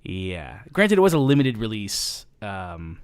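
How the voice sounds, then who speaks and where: A man's voice: on the low side, very animated, a little slow, clear, resonant tone, dry recording, clean studio sound. A man co-hosting a casual podcast, rambling through a movie plot with frequent ums and restarts. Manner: rambling, lots of ums and restarts, laughs easily. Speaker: podcast co-host.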